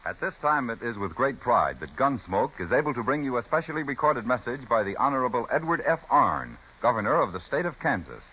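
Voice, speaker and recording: A man's voice: medium pitch, big pitch swings, fairly quick, hardly any pauses, background noise. An American man announcing formally and emphatically in scripted 1950s radio style. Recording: old radio broadcast recording.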